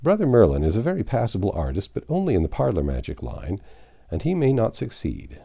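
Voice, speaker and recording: A man's voice: fairly low pitch, huge pitch swings, brisk, gravelly, warm tone, husky, exaggerated voice. A man voicing a confident, easily exasperated Yankee in a novel reading, blunt, plain-spoken and boastful. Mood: self-assured. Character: confident, exasperated Yankee man stranded among medieval folk. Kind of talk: audiobook character dialogue.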